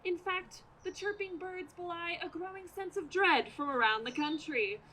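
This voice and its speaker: A woman's voice: extremely high pitch, normal speed, sharp tone, slight background noise, rough recording. A woman playing an earnest female radio news reporter in a comic stage radio play, speaking in a dramatic, declamatory manner. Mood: solemn. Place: outdoors, community theater play in a parking lot. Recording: outdoor amateur stage performance.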